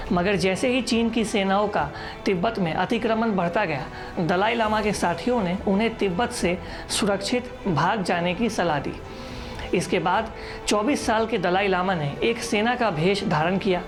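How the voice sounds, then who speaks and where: A woman reading a scripted news explainer as a narrator. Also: mid-range voice. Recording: voice-over with music underneath. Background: background music.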